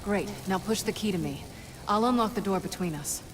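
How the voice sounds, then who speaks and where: An actress playing a guarded, wry cat burglar in a video game, speaking in a cool, persuasive way. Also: female voice, brisk, lightly acted. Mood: tense, cool. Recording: loud background noise, vehicle-like rumble.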